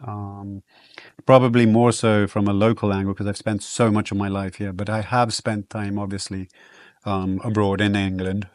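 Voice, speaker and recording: Podcast guest: low-pitched South African man, Afrikaans first language, unhurried and reflective, lots of ums, dry close mic. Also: dry recording. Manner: relaxed, reflective, with frequent ums and restarts. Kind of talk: casual interview storytelling.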